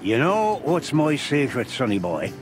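An actor playing a folksy, sly old beaver shopkeeper in a film noir game, with a teasing manner. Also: male voice, mid-range voice, huge pitch swings, a little slow. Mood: jovial, sly. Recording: music behind the voice.

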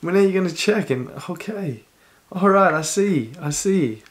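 Hoarse man reacting to a song. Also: high voice, animated, moderate pace. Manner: chatty, talks over the song with short reactions. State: hoarse from a cold, coughing. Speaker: reaction channel host. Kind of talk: casual music reaction chat.

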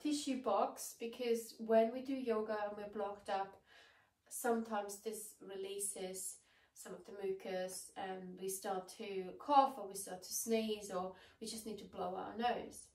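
A woman in her thirties with a blocked nose from a cold, guiding a yoga session in a gentle, soothing way from across a bare living room. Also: medium pitch. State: congested with a cold. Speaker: yoga teacher. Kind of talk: guided yoga instruction. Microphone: far camera. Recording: echoey room, mic far off.

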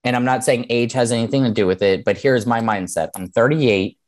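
A man's voice: mid-range voice, a little fast. A man in his thirties presenting an online seminar over a video call, explaining plainly in a casual way and often checking in with "right?". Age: 30s. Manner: conversational, explanatory, with frequent "um" and "right?". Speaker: mortgage lender teaching a webinar.

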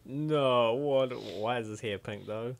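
A man hosting a comic dub, giving casual, excitable, exclamatory reaction commentary. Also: medium pitch, slow. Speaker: comic dub host and narrator.

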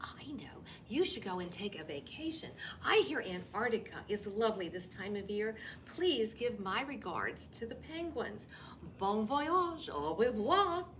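A nervous little storybook rabbit, acted out by a woman reading aloud, swinging widely in pitch. It stammers and piles on flustered denials. The voice sits a little away from the mic. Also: very animated, measured pace, a bit bright. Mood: feigned innocence. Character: nervous, fibbing little rabbit hiding its kind from wolf. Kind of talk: read-aloud children's picture book. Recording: distant mic, faint room noise.

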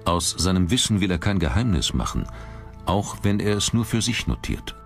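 A man reading scripted documentary narration in a measured, dramatic manner. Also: low-pitched, big pitch swings. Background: background music.

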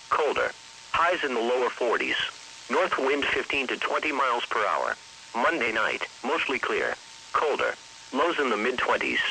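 A man's voice: medium pitch, sharp tone, rough recording. A synthetic male text-to-speech voice reading a scripted weather bulletin in a formal, impersonal manner as a radio announcer. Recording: hissy weather radio broadcast.

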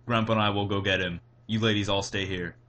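Low-voiced worried father, acted by a narrator. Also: fairly even intonation, quick pace, fairly bright tone, a little hiss or hum, close to an everyday voice. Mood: reassuring. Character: concerned father, firm but gentle, anxious.

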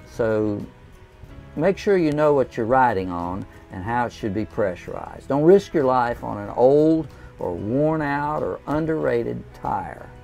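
Gravelly older man giving camping tips. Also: mid-range voice, a little mellow. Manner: plain, folksy, unhurried with frequent "uh" fillers. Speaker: RV travel vlogger. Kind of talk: casual how-to talk to camera. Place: inside a camping trailer, small room. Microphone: clip-on lapel mic.

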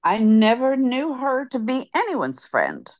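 A woman's voice: sits high, big pitch swings, a little slow, no echo. A woman reading the part of a wry fellow churchgoer at a funeral, over a video call. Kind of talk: zoom radio-play reading.

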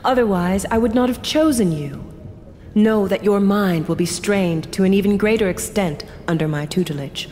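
Actress as a measured scholarly mentor, reverberant hall. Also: female voice, mid-range voice, darkish tone, slight background noise, close to an everyday voice. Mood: approving, measured. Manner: formal, measured, gracious. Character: measured, kindly scholarly mentor lady, keeper of records. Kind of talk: fantasy audio drama acting. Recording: long hall reverb, music behind.

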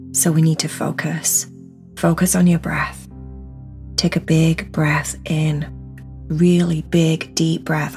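A woman's voice: low voice, vocal fry. A woman guiding a meditation in an instructional, soothing and encouraging manner, stressing words by repeating them. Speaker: meditation guide. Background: music.

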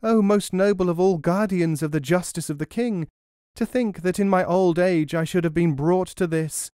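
Guy doing a high screechy old hag, sly and wheedling, dry sound. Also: normal speed, mellow, clean tone, dry recording, natural voice. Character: cunning cackling old crone.

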